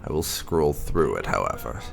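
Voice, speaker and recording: A man hosting a gaming video, reading lore entries aloud in a theatrical, expressive way with occasional asides. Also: rumbling low, moderate pace, gravelly, slight background noise.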